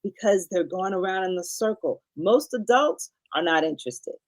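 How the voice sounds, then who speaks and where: A woman's voice: on the low side, lively intonation, moderate pace, a little mellow. Woman, middle-aged, speaking coach, podcast guest, emphatic, teacherly, repeats points. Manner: emphatic teacherly explaining with repetition. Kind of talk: podcast interview conversation.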